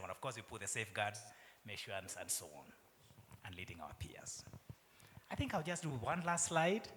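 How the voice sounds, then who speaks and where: Man, Kenyan accent, middle-aged, keynote talk, lively pitch, long hall reverb. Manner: asks the audience questions, chuckles, drops to soft asides. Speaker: university vice chancellor giving a keynote. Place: large conference hall.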